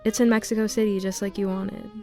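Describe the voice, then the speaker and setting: A woman's voice: medium pitch, level tone, fast talker, darkish tone, soft background music, close to an everyday voice. A young woman playing a wealthy, entitled student girlfriend in an amateur radio drama, with a pushy, hurt manner. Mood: eager, pleading.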